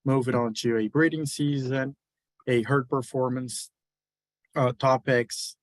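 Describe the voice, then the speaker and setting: A man's voice: mid-range voice, fairly even intonation, a little slow, dry recording. A man from Brazil with a Brazilian accent, giving a webinar lecture as a university beef cattle specialist, with many hesitant fillers. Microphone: video call.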